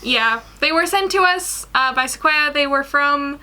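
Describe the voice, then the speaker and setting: A woman's voice: sits high, measured pace, sharp tone. A young woman co-hosting in casual, informal chat, giggly in manner. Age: young adult. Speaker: co-host, guest illustrator. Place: small indoor room at home.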